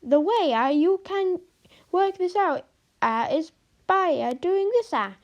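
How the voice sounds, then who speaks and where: A woman putting on a fussy male maths teacher with a thick put-on Italian accent, rattling off numbers at speed. His voice rides much higher than the storyteller's own and comes out loud and bright. Every few words trail into a drawn-out "ah". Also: animated, dull audio, heavily acted. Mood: bustling. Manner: quick, filled with drawn-out "ah"s.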